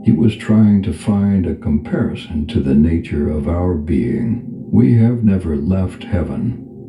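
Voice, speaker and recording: A man leading a guided meditation as a calm spiritual teacher, speaking in a gentle, unhurried, instructional manner. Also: low-pitched, lo-fi sound. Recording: echoey reverb over background music. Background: music.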